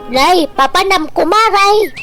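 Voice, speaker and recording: A woman's voice: very high voice, lively intonation, unhurried, over loud music. A woman playing a young newlywed wife caught between mother and husband in comedic radio drama, apologetic, with an Ilocano accent. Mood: protesting.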